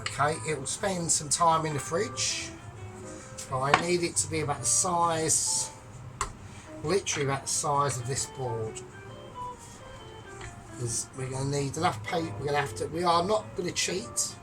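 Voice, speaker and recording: A man's voice: on the high side, normal speed, pauses often, low-quality recording. A man chatting his way through a cooking tutorial, explaining each step with joking asides. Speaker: home cook. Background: music.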